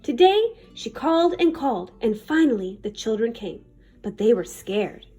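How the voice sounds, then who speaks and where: A woman reading a children's picture book aloud in an expressive, dramatic manner. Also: a little high, moderate pace, breathy edge, soft background music. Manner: animated, dramatic. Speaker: children's story reader.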